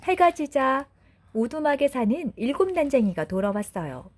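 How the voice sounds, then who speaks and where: A woman narrating a bedtime fairy tale for children in a gentle, deliberate, expressive way. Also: sits high. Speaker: storyteller mother.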